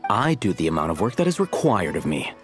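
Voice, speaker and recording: An actor playing a terse, deadpan young man scholar with dry, aloof replies, in a game cutscene. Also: low-pitched, fast talker, faint music behind. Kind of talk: video game cutscene voice acting.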